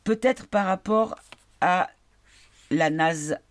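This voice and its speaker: Tired woman with a head cold. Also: lowish voice. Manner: halting, searching for words with many fillers. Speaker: card reader. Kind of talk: casual spoken card reading.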